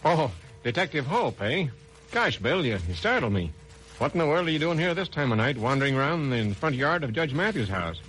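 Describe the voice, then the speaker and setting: A man's voice: fairly high pitch, sing-song, fairly quick, a bit bright, husky, exaggerated voice, low-quality recording. An actor playing an ordinary, cautious milkman in an old radio drama, hesitant and plain-spoken. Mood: uneasy. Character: folksy, cautious milkman, ordinary good-natured working man. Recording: vintage radio drama recording.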